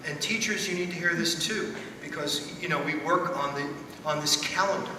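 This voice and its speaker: A middle-aged man speaking as a school principal on a panel, in measured, deliberate phrases. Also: on the high side. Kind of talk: panel discussion. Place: school theater stage at a panel table. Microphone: distant from the speaker. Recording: echoey hall, distant mic, background noise.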